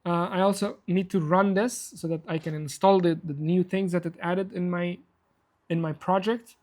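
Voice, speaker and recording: A man's voice: high-pitched, steady tone, moderate pace, a little mellow. Persian-speaking man demoing code live. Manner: casual, self-deprecating, frequent restarts. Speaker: workshop host. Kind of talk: live coding walkthrough.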